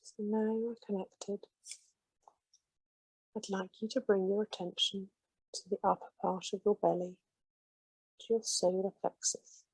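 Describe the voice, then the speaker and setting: A woman gently guides listeners through a meditation in a slow, soothing way. Her voice is mellow and slightly breathy, with very little rise and fall. The sound is close and dry. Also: mid-range voice, fairly even intonation, dry recording, low-quality recording.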